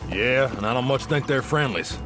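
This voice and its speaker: A man plays a steadfast US marshal with an American accent in a western audio drama. He talks plainly and dryly, in a mid-range folksy voice, with music clearly underneath. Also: medium pitch, lively intonation, normal speed, natural voice. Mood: dry, wary. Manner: folksy, dry. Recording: music behind.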